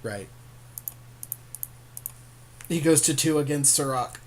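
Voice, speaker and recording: A man's voice: a little high, slow pace. A man co-hosting a game session in casual chat, readily agreeing with and affirming his partner's suggestions. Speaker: podcast co-host and card game player.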